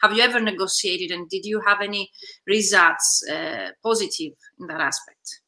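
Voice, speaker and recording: Inquisitive woman host with a bright voice. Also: medium pitch, normal speed. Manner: inquisitive, sums up the guest's points. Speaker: host, business coach. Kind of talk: live interview hosting.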